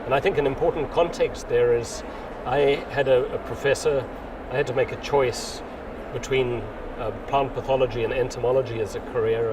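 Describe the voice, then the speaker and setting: Man, distant, noisy outdoors. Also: medium pitch. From South Africa. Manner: rambling, restarts often, unhurried. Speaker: interviewee, mycologist. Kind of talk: casual interview chat. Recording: distant mic, loud outdoor noise.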